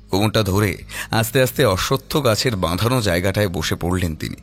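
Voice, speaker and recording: A man narrating a scripted horror audio story in a suspenseful, dramatic manner. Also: fairly low pitch.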